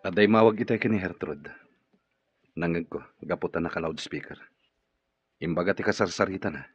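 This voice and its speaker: Gloomy, husky, very low-voiced man. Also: a little fast, dry recording, soft background music. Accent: Ilocano Filipino. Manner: downcast, grumbling. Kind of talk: Filipino radio drama acting. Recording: dull lo-fi radio recording.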